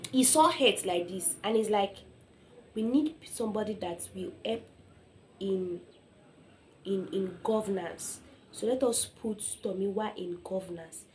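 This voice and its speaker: A woman's voice: medium pitch, darkish tone, slight background noise. A young Nigerian woman speaking as an informal motivational speaker, telling personal stories emphatically, acting out quotes and often checking in with listeners.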